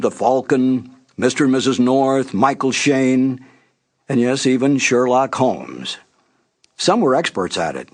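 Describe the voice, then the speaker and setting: An older man hosting with a scripted, nostalgic introduction, telling it in a measured way with deliberate emphasis. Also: medium pitch. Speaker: announcer and host.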